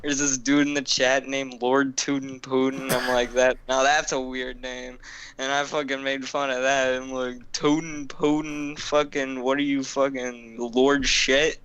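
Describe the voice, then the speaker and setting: A man's voice: mid-range voice, flat delivery, unhurried, fairly bright tone, smooth, poor audio. A young man casually telling an old story on a podcast, rambling and swearing, with many fillers and restarts. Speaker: podcast co-host.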